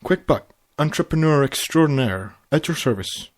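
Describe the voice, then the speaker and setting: A man's voice: fairly high pitch, lively intonation, normal speed, pitched above the performer's own voice, close to an everyday voice. A man voicing a brash, cheeky young male pegasus wheeler-dealer in a boastful, familiar way, reading a story.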